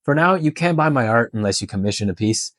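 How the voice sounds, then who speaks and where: A man's voice: medium pitch, animated, quick pace, no room sound, clean studio sound. A man from Canada and Hong Kong talking candidly and informally in a question-and-answer vlog. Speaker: tattoo artist and vlogger.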